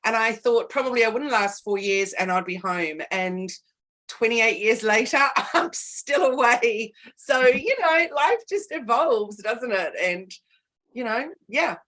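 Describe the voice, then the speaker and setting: A woman's voice: fairly high pitch, expressive, unhurried, a bit bright. A woman in her forties with a New Zealand accent, hosting a casual interview podcast over a video call, chatty and asking long, rambling questions. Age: 40s. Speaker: podcast host.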